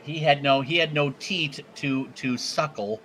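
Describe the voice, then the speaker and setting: Male co-host: bright, high, animated, stammery, jokes at his own expense. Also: measured pace, slight background noise, low-quality recording. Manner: stammering, jokey, self-deprecating. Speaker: co-host of a music video show. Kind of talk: casual live-stream chat.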